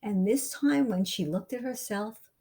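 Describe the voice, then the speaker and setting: A woman reading a picture book aloud as a storyteller, with expressive, deliberate and dramatic phrasing for young listeners. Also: mid-range voice, breathy edge. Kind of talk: expressive children's read-aloud narration.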